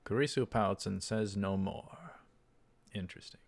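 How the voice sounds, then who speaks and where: A man's voice: lowish voice, unhurried, creaky. A man reading a visual novel aloud and adding his own casual commentary.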